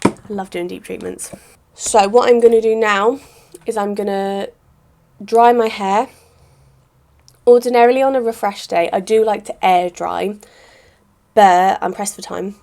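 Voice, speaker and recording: A woman, chatting casually through a hair-care vlog, a little croaky, rambling with lots of "sort of". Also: mid-range voice, darkish tone. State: slightly croaky voice. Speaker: hair vlogger.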